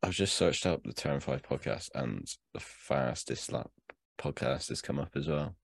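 A young man in his twenties speaks in a very deep, gravelly voice, recorded close and dry. He talks casually, as a co-host on a podcast does. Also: animated, a little slow, dry recording. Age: 20s.

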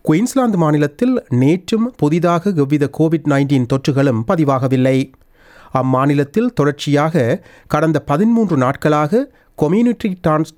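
A man reading a scripted news bulletin as presenter, in a formal, measured manner. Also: a little high, darkish tone.

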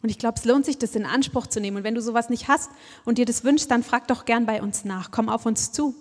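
Lady preaching, fairly high voice, asks the crowd questions, long echo from the hall. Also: quick pace. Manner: reflective, addresses listeners directly with questions. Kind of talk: sermon.

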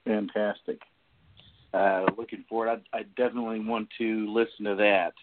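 A man hosting a podcast with casual, somewhat halting introductions over an online voice call. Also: lowish voice, little pitch movement, noisy background. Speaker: podcast host. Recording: muffled, phone-like voice-call audio.

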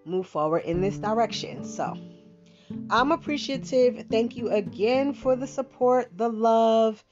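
Slangy, slow-talking Philly woman podcaster. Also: on the high side. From Bahamas-born, raised in Philadelphia. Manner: chatty, slangy, lots of fillers and asides. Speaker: podcast host. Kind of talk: casual monologue. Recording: dull lo-fi sound with guitar music behind.

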